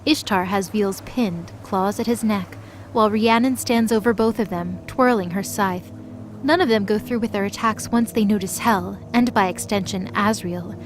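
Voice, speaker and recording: A woman's voice: medium pitch, moderate pace, a little hiss or hum. A woman giving scripted, dramatic narration as the storyteller of an audio drama.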